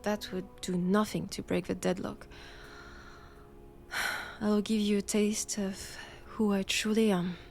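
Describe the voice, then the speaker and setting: A woman with a French accent playing a stern, cold vampire-hunting nun in an intimate audio roleplay, measured and commanding. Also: medium pitch, level tone, creaky, soft background music. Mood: menacing.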